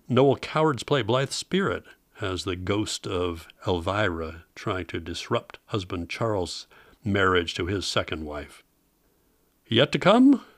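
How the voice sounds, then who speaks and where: A low-pitched American man hosts a vintage radio program. He presents in an informative narrating style, recorded very dry. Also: no room sound. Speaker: host of an old-time radio program.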